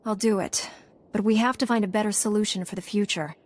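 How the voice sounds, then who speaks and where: Composed young heroine for a fantasy game, woman acting, mid-range voice, direct, principled, calm. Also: medium pitch, fast, slight background noise, natural voice. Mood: firm, sympathetic. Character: composed, principled young female Jedi apprentice. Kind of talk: video game dialogue acting.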